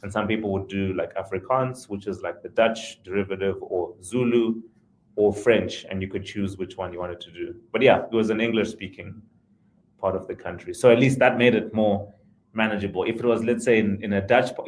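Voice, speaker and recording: A man's voice: on the low side, fairly even intonation, brisk, slight background noise, low-quality recording. A man from Zimbabwe, a podcast guest, talking in a rambling, self-correcting way with frequent chuckles. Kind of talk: casual conversational interview.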